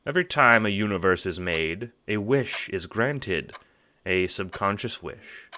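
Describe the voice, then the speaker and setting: A man voicing a calm, courteous, smugly sinister puppet-like host in an audio drama, speaking in a mannered, formal, condescending way. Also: on the low side, unhurried. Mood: smug musing. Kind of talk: theatrical audio drama narration.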